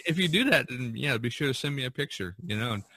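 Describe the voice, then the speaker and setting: A man hosting a podcast in a casual chat, speaking in a halting, folksy and encouraging way. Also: medium pitch, animated, sharp tone, slightly rough, no room sound. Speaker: podcast host.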